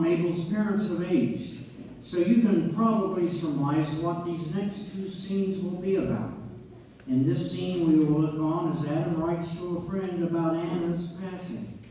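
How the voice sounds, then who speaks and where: A man's voice: on the high side, mellow, close to an everyday voice. A man playing a genial narrator who guides an audience through a family history in scripted stage narration. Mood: explanatory. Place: community theater hall. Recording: very echoey, distant mic, faint noise.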